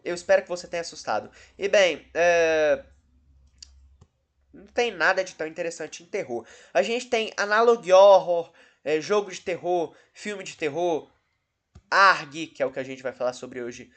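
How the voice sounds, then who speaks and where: A man's voice: a little high, moderate pace, fairly bright tone. Rambling, dryly sarcastic Brazilian man. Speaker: host of a video channel about horror cases. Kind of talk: casual, unscripted-sounding video commentary.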